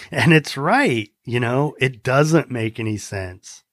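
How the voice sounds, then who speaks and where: A man's voice: mid-range voice, expressive, takes it slowly, fairly bright tone, dry recording. An American man talking earnestly in a casual conversation, rambling a little with restarts. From United States.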